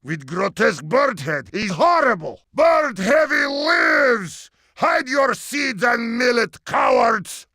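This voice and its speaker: A man's voice: very high voice, wildly swooping pitch, takes it slowly, gravelly, hardly any pauses, bright, forceful tone, dry recording, clean studio sound, heavily acted. A man voicing a boastful, menacing bird-headed brute with a Russian accent and broken English for a video game, strained and blustering. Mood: menacing boasting. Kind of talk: exaggerated video game character acting.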